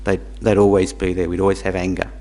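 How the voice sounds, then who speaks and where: A man born in Tanzania, teaching Buddhism to a small group in a halting, repetitive way, with questions for the audience. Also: low voice, monotone, dark tone. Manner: halting, repeats words, asks the audience questions. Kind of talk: dharma talk to a small group.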